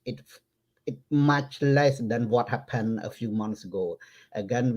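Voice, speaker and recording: Guest, middle-aged man, French-accented English, somewhat low, stop-start delivery. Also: darkish tone, highs cut off. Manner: halting, restarts phrases, laughs often. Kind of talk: casual video-call chat.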